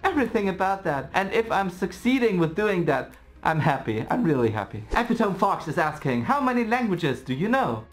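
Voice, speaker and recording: A man's voice: high voice, big pitch swings, a little fast, faint music behind. A man in his twenties with a German accent, a native German speaker hosting a casual vlog Q&A and reading out viewer questions in a playful, giggly way. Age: 20s. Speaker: YouTuber.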